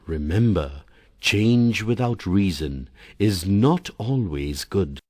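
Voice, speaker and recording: A man voicing a calm, patient sun who talks in a gentle, reasoning way. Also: low-pitched, takes it slowly, no echo, studio quality, exaggerated voice.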